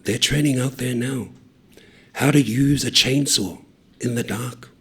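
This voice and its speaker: A man voicing a cheeky young male road worker who spins deadpan tall tales in a low, conspiratorial way. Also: a little slow, breathy edge. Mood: teasing.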